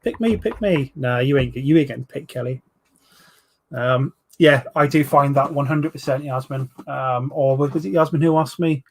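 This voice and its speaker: Forties London bloke hosting a live stream; chatty, banters with viewers, plenty of ums. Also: male voice, mid-range voice, normal speed, a little mellow, husky. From England. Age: 40s. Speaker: livestream host reviewing vape juices. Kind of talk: casual live chat reading viewer comments.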